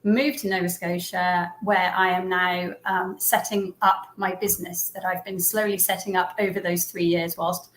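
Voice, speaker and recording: A woman's voice: on the low side, level tone, moderate pace, hardly any pauses, fairly bright tone. A woman with an English accent, a business owner speaking as an interview guest, talking in a thoughtful, friendly way with frequent ums and easy laughter. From England, Herefordshire. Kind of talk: casual interview. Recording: roomy echo.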